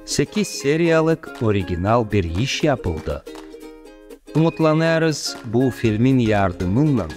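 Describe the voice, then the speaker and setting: Gagauz-accented man narrating a promo, slow and lilting, soft music under. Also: medium pitch, big pitch swings. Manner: slow, measured recitation. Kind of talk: scripted narration and poem recital.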